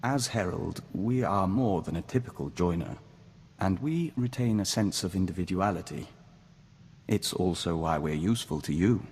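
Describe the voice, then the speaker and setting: A man's voice: low voice, lively intonation, moderate pace, darkish tone, exaggerated voice. A man playing a serene diplomat joined to an insect hive mind in a game, speaking formally and with measure, calling himself "we.". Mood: serene, reverent. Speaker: game companion character. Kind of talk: scripted game dialogue acting. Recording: reverberant hall, clear background noise.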